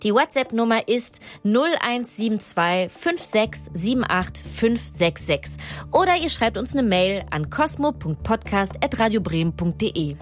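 A woman's voice: fairly high pitch, animated, a little slow, soft background music. A woman hosting a podcast, moving between scripted narration and addressing guest and listeners. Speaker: podcast host.

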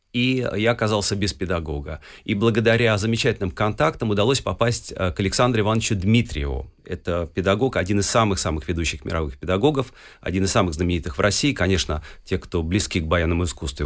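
A middle-aged man from Russia answering interview questions as a guest musician, telling his story fluently with some fillers. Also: on the low side.

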